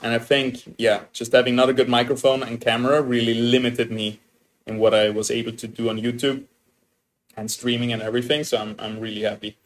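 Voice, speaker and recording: A young man with an Austrian German accent, chatting excitedly to his viewers as a game streamer. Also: medium pitch, brisk. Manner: excitable, upbeat, chatty with restarts. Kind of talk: casual livestream chat, greeting viewers.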